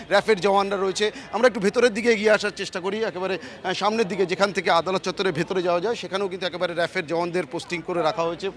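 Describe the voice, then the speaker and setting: A man's voice: high-pitched, fairly bright tone. A man with a West Bengal Bengali accent giving an emphatic, repetitive live news report from the field, stumbling now and then. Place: outdoors outside a court complex.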